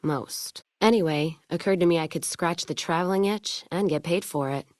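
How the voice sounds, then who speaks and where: A woman's voice: fairly low pitch, normal speed, a little mellow, dry recording, clean studio sound, lightly acted. A woman playing a hard-drinking, blunt caravan boss from a post-apocalyptic western video game, with a wry frontier way of talking. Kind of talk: video game character dialogue.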